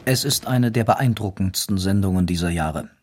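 A man's voice: sits low, moderate pace, over music. A man reading scripted documentary narration as a narrator.

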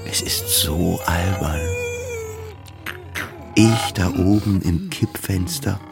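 A man's voice: low voice, takes it slowly, creaky, music in the background, full character voice. An actor playing a depressed, despairing man in a radio play monologue, brooding and self-mocking as he narrates his inner thoughts. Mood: bleak, brooding.

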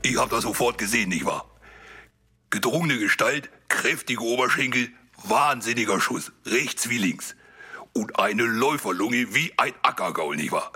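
An actor playing a self-assured old football coach, husky and folksy, in a mock documentary interview. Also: male voice, medium pitch, lively intonation, moderate pace, pushed and bright, faint music behind. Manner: folksy, keeps adding a confirming tag question.

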